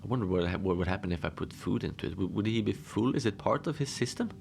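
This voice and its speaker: A man playing a deadpan lone adventurer who tells his odd tales slowly and conversationally, rambling with halting asides, in a cozy horror audio drama. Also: lowish voice, gravelly, slight background noise. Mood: deadpan, musing.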